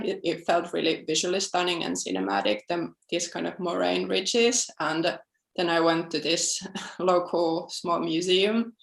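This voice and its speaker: Gravelly woman in an echoey room. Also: on the low side. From southern Finland. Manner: thoughtful, with frequent fillers and restarts. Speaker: documentary film director. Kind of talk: panel interview answers. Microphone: video call.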